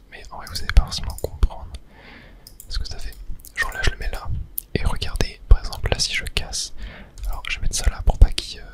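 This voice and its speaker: Someone whispering a casual ASMR commentary over a video game, thinking aloud in a breathy, unvoiced voice. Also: very high-pitched.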